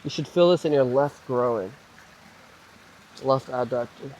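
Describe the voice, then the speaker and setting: A man leading a guided mobility flow, calmly cueing each move and breath, his voice slightly hoarse as he recovers from a cough. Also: medium pitch, a little slow, highs cut off, a little hiss or hum. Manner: calm, gentle cueing of moves and breaths. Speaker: mobility and stretching instructor.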